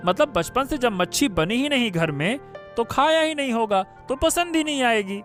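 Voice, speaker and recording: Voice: medium pitch, expressive, moderate pace, lightly acted. A performer plays a spoiled cartoon son who sneers at the new bride. His taunts come in a mid-range voice over faint music. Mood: taunting. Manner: taunting, sarcastic. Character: mocking young man of a rich family. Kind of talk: cartoon story dialogue. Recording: soft background music.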